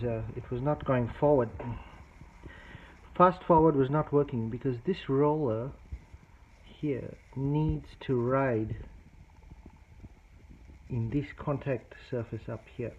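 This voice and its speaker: A man narrating a casual hands-on repair demonstration as a hobbyist, explaining things in a matter-of-fact, unhurried way. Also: mid-range voice. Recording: dull lo-fi phone-video sound, faint noise.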